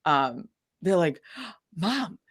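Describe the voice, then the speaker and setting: A woman's voice: fairly low pitch, sing-song, very slow, fairly bright tone, slightly rough. A woman musician being interviewed, talking in a sunny, bubbly and informal way. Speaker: interview guest, singer-songwriter. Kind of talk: casual interview chat.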